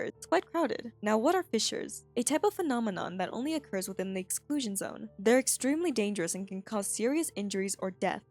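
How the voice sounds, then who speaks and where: A woman's voice: mid-range voice, darkish tone. A woman hosting and narrating a scripted video essay, slipping in casual, playful asides. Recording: voice-over with music underneath. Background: background music.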